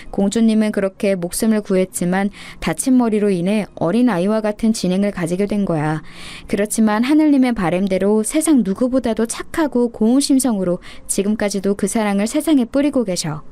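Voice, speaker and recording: A woman voicing a gentle, patient mother who explains things to her child as a fairy tale. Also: medium pitch, fairly quick, close to an everyday voice. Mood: tender. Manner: tender, explanatory storytelling to a child.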